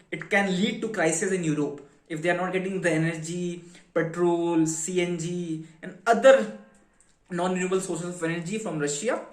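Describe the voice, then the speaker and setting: A man with an Indian accent giving an informal explanatory lecture, emphatically posing questions and then answering them. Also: high-pitched. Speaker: educator presenting a finance explainer. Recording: roomy, echoing sound.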